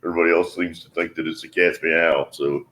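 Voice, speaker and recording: A man with a deep voice hosting a casual livestream chat, giving slow, steady advice. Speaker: livestream host.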